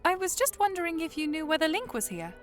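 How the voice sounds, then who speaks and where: A woman playing a polite, quietly bold young princess in a fan audio drama, speaking with gently formal courtesy. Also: very high-pitched, sing-song, fast talker, soft background music. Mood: polite, tentative.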